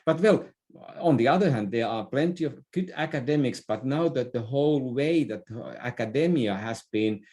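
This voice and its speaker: A man's voice: medium pitch, animated, moderate pace, darkish tone. Finnish-accented man in conversation. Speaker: interview guest, author and business thinker. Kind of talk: conversational podcast interview.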